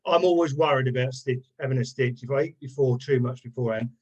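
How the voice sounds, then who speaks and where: A man's voice: medium pitch, fast, darkish tone. Participant: man on a muffled video call line, straightforward. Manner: plain, matter-of-fact. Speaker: webinar participant.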